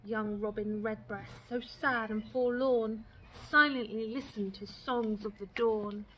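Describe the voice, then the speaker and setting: A woman with a fairly high voice reads a rhyming picture book for children. Her delivery is expressive and deliberate, shaped for storytime. Clear background noise runs through the recording. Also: rough recording. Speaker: storytime reader.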